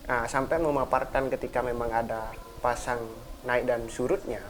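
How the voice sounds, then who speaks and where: A man's voice: medium pitch, fairly even intonation, moderate pace. A man hosts a casual podcast, asking long questions full of hesitations. His voice sits mid-range, with a faint hiss behind it. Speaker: podcast host.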